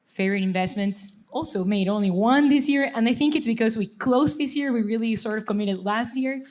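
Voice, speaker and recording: A woman's voice: a little high, a little fast, darkish tone. A woman from Ecuador, a venture fund director, introducing herself fluently on a panel. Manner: fluent and composed.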